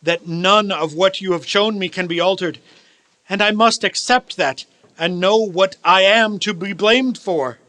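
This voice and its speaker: Radio-play old miser, male actor: high, bright voice, desperate, imploring, formal, seeking redemption. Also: measured pace, no room sound. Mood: earnest, urgent. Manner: earnest, imploring, formal Victorian phrasing. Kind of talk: theatrical radio play acting.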